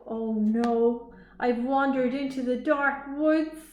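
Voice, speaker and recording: A woman's voice: high voice, slow pace, a little mellow. A woman voicing a sweet, kind and curious young boy robot from a children's storybook. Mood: upset, lost. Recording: echoey room, faint noise.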